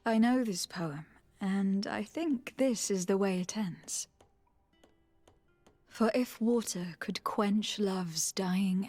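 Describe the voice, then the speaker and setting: A woman's voice: medium pitch, darkish tone, breathy edge, no room sound. A noble young game heroine, composed and resolute. Her mid-range voice is recorded dry, and she speaks formally with poised, articulate phrasing. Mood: tender, hushed. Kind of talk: video game voice acting.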